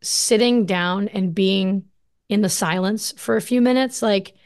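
A woman hosting a casual video-call conversation, chatty and enthusiastic, quick to gush in agreement. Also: medium pitch, darkish tone. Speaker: podcast host. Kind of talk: casual chat.

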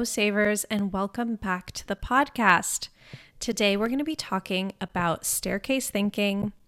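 A woman's voice: mid-range voice, a bit bright, smooth. Congested upbeat woman podcast host. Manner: upbeat, conversational, often asks "right?". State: congested, slightly groggy voice. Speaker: podcast host and financial coach. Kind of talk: casual coaching monologue.

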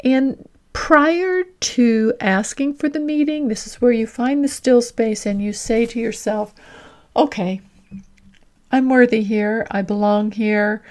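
A woman podcast host and executive coach delivering a scripted, instructional monologue in a deliberate way, speaking directly to the listener. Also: fairly high pitch, a little mellow.

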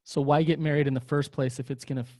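A man's voice: a little high, steady tone, quick pace, darkish tone, no room sound. Man interviewer probing with restarts. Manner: probing questions with many restarts. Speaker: podcast co-host, interviewer. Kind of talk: podcast interview.

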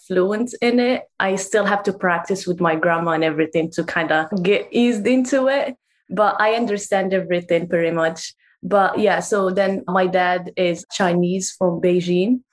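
Chatty young woman, filler-heavy. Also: lowish voice. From Morocco. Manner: chatty, frequent "like" fillers, laughs easily. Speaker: guest author. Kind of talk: casual interview talk.

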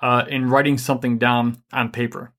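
A man co-hosting a casual faith-based money and marriage talk, energetic and rambling with many fillers. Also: mid-range voice, moderate pace.

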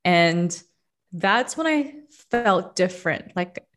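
A young woman from the Philippines, a podcast guest, telling her own story in a relaxed, reflective way with many fillers. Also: fairly low pitch, slow. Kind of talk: casual interview storytelling.

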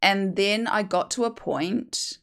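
A woman's voice: mid-range voice, takes it slowly, creaky. A woman in her forties from New Zealand with a New Zealand accent, hosting a podcast and telling her own story in a candid, chatty, self-mocking way. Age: 40s. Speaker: podcast host, hypnotherapist. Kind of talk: personal storytelling monologue.

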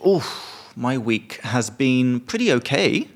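A man's voice: mid-range voice, sing-song, slow. A young British man co-hosting a podcast, chatting casually and explaining English phrases with examples. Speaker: podcast co-host. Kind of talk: casual chat.

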